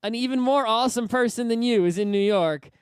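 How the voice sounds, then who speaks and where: A man's voice: very high-pitched, a little fast, clean tone, dry recording, clean studio sound. A man co-hosting a podcast in casual conversation, blunt and insistent as he banters. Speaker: podcast co-host.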